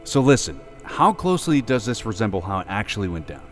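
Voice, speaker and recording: A man's voice: on the low side, big pitch swings, a little fast, a bit bright, faint music behind. A man voicing Ross, a brash, insulting male skeleton actor in a storybook reading. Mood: bossy. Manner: pushy, sarcastic, scolding. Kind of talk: storybook character voice.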